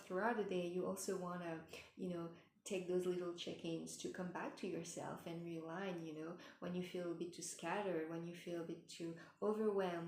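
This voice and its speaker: A woman giving gentle spiritual advice in a meandering way, with many fillers and restarts, and she is losing her voice. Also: lowish voice, reverberant, slight background noise, rough recording. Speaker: spiritual mentor. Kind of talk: casual advice talk.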